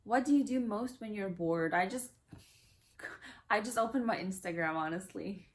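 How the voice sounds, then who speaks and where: A young woman whose native tongues are Kazakh and Russian talks through a casual question-and-answer vlog. She reads each question out, then answers loosely and chattily, pausing on fillers as she thinks. Her voice sits at an ordinary middle pitch. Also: expressive. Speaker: vlogger.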